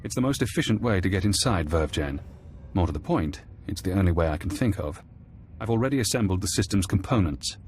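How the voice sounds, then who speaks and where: A man playing a calm, precise intelligence handler in a scripted game cutscene, speaking in a measured, detached way. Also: low voice, a little hiss or hum. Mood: measured, clinical. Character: calm, clinical male intelligence handler and tech expert.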